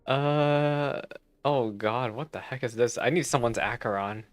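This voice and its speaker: A man streams a game and reacts live in a casual, chatty way. His pitch is average and his manner is joking and teasing. Faint music plays behind him. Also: measured pace.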